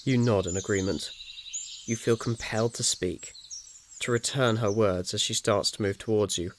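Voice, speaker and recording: A man reading scripted second-person ASMR fiction as narrator in a soothing, measured manner. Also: on the low side, steady tone. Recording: faint noise, nature ambience behind. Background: birdsong, insects, nature ambience.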